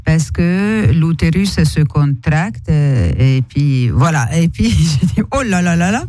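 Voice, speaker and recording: Radio guest, middle-aged woman, chatty storyteller, warm and mellow. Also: deep voice, expressive, slow, no room sound. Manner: chatty storytelling, frequent fillers, chuckles. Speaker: family education worker, radio guest. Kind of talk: casual radio interview. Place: radio studio. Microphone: studio microphone.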